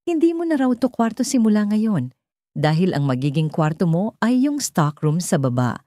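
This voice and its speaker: A woman's voice: on the low side, very animated, moderate pace, dark tone, no room sound, studio quality. A woman voicing a spiteful young stepsister in an audio story, haughty, bossy and taunting.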